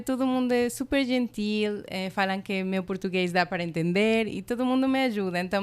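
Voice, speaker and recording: A woman's voice: mid-range voice, clear, resonant tone. A middle-aged woman from Mexico, a native Spanish speaker with a Spanish accent, chatting enthusiastically in an interview and using many fillers. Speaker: college international representative. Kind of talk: casual interview.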